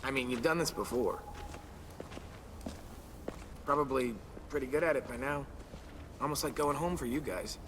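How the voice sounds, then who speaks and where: A man's voice: mid-range voice, moderate pace, long pauses, background noise, natural voice. An actor playing a sore, subdued teenage boy survivor in a naturalistic video game drama. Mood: resentful.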